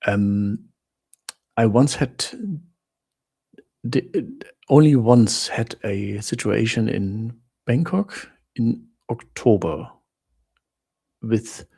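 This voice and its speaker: A German man with a German accent rambles through a casual live chat. He talks slowly and haltingly, with many restarts. His voice is gravelly and a little husky. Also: fairly low pitch, warm tone. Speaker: livestream host answering viewer questions.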